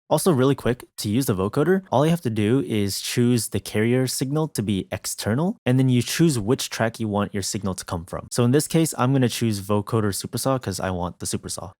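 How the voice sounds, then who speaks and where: A man's voice: medium pitch, darkish tone, no echo, studio quality. A man presenting a music production tutorial in a casual, explanatory narration style.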